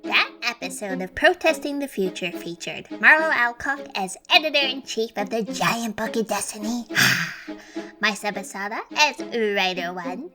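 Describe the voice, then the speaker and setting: A woman's voice: on the high side, lively intonation, moderate pace. A woman reading podcast credits in a playful, bubbly way with chatty asides. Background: music.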